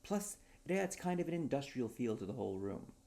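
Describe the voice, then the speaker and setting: A man's voice: mid-range voice, big pitch swings, a little fast, vocal fry, dark tone, voice pushed up. Man acting an eager, theatrical wordsmith. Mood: proud, whimsical. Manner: theatrical, earnest salesman patter. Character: eager, whimsical, talkative wordsmith with odd schemes.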